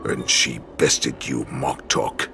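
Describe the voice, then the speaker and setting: A man playing an ancient, contemptuous wolf-beast warlord in a video game, gravelly and imperious. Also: very deep voice, flat delivery, pushed and bright, slight background noise, exaggerated voice. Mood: cold, probing. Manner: slow, imperious. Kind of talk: dramatic video game voice acting.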